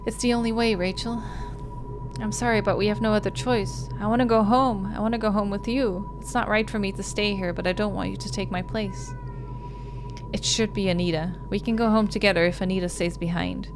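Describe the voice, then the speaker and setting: A woman's voice: medium pitch, fairly even intonation, a little fast, noisy background, natural voice. A woman voicing a young lost little sister from a visual novel, earnest, pleading and insistent. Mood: urgent pleading. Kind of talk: visual novel character read aloud.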